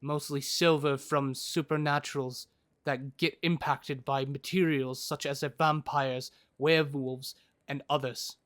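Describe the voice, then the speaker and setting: A man playing a knowledgeable fantasy character in an audio drama, explaining things in a deliberate way. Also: medium pitch, level tone, fairly bright tone, dry recording, clean studio sound, lightly acted. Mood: explanatory.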